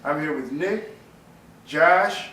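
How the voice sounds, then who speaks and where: A man's voice: a little high, slow, heavy background noise. A man hosting a casual podcast, loose and joking, with hesitant fillers. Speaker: podcast host. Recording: echoey room, distant mic.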